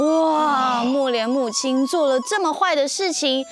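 A young woman with a Taiwanese accent narrating a story for children as a show host, expressive and dramatic. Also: high-pitched, measured pace, over music. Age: young adult. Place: TV studio set.

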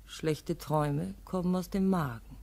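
A woman's voice: on the low side, unhurried, gravelly, mellow. A woman narrating a radio play interlude in a dry, slightly ironic tone.

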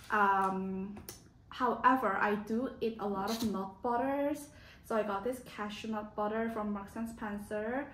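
A young adult woman vlogger chatting casually and informally to the camera in a home kitchen. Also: mid-range voice. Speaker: lifestyle vlogger. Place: small home kitchen, indoors. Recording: echoey room, clear background noise.

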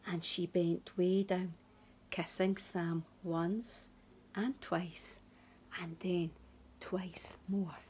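A woman in her thirties reading a picture book to young children expressively and deliberately, with dramatic pauses. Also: lowish voice, fairly even intonation, warm tone, a little hiss or hum. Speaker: early years teacher reading to children. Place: small room at home, indoors.

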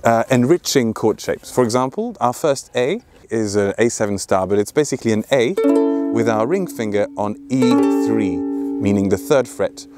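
A man's voice: fairly low pitch, animated, moderate pace, over loud music. A man in his thirties teaching ukulele in a casual, playful, chatty way, outdoors in an open field. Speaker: ukulele tutor and video host. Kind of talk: casual music tutorial with sung examples. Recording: ukulele played alongside the voice. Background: ukulele strumming and plucking.

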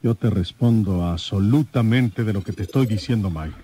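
An actor playing a plain-spoken rural detective, folksy, assured and persuasive, in an old radio drama. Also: male voice, on the low side, normal speed, dark tone, lightly acted. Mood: firm, persuasive. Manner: folksy, assured, rustic speech.

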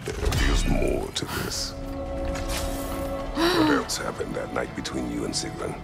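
A stern, battle-weary warrior man with an extremely low, heavily gravelled voice. He speaks in terse, formal commands like a gruff video game hero. Loud music plays behind him. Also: huge pitch swings, slow, heavily acted. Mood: stern, probing.

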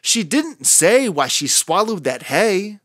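A narrator reading aloud for young children with a deliberate, rhythmic sing-song delivery. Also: mid-range voice, very animated, fairly bright tone, no echo, studio quality. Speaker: children's entertainer and story reader.

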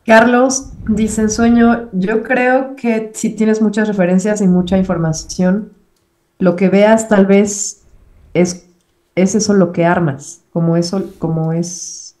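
Young woman reading out chat comments, soft mellow tone. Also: medium pitch, fairly even intonation, takes it slowly, poor audio. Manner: plain, relaying comments. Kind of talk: reading viewer comments aloud.

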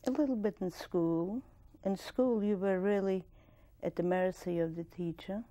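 A woman in her sixties from Poland with a Polish accent, recalling her past at a steady pace in a recorded oral history interview, with restarts and soft laughs, on a lapel mic in a studio. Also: mid-range voice. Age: 60s. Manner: measured, plain-spoken, with soft laughs and restarts. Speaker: interviewee, Holocaust survivor. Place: studio with dark curtain backdrop.

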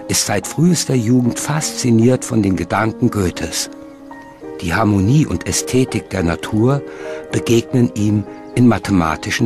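Low-voiced male documentary narrator. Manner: measured, articulate. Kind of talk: scripted narration.

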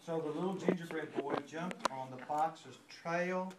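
A man in his sixties reading a storybook aloud to young children in an expressive way, pausing to ask them questions. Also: fairly high pitch. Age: 60s. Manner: expressive, repeats refrains, asks children questions. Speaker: guest reader to a class of young children. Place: indoor classroom with young children. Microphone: distant handheld camera. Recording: distant mic, echoey room, noisy with clicks.

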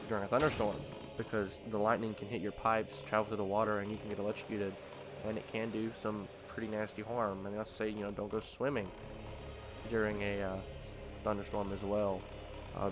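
A man's voice: lowish voice, background noise. A man with a slightly nasal voice from allergies, casually talking through a video game and thinking aloud with frequent ums. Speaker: let's play host. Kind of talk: casual gameplay commentary. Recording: game music behind the voice. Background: game music.

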